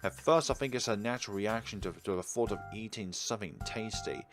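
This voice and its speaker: Low-voiced man narrating over game music. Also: a little fast. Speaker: gaming YouTuber. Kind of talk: let's play commentary, reading visual novel text aloud. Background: video game music.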